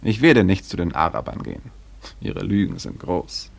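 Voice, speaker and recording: An actor in a German radio drama playing a proud, dignified young Malay prince who speaks formally and with measured care. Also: male voice, deep voice, animated, gravelly, full character voice. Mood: courteous, grave.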